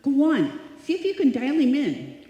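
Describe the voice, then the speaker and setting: A woman's voice: high-pitched, huge pitch swings, dark tone, highs cut off. A woman playing a sweet, dim-witted young roommate in live old-time radio comedy, earnest and innocently literal. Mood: naive, puzzled. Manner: naive, earnest, slow-witted. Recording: echoey hall, live stage performance.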